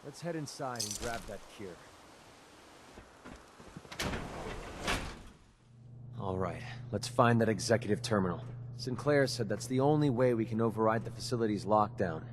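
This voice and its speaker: A man's voice: lowish voice, brisk, hardly any pauses, background noise, natural voice. A man playing an earnest, scrappy young mercenary in a video game, with a plainspoken, casual way of talking. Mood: tense, focused. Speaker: companion character in a video game. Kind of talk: video game dialogue acting.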